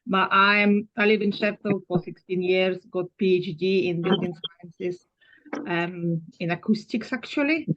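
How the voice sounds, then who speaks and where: A woman's voice: on the low side, measured pace, a bit bright. A woman with a Ukrainian accent introducing herself as a retrofit assessor on a webinar video call, speaking in a direct, matter-of-fact way. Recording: muffled video call audio.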